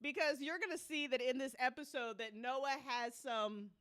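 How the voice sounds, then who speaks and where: A woman's voice: sits high, fairly even intonation, normal speed, fairly bright tone, dry recording, clean studio sound. A woman hosting a live listen party in casual livestream chat, informal and teasing, with some swearing.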